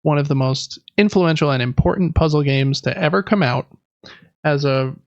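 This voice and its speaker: A man's voice: fairly high pitch, moderate pace, vocal fry, no echo, dull audio. A man hosting a podcast, chatting informally and with enthusiasm about games. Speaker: podcast host. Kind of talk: casual gaming podcast chat.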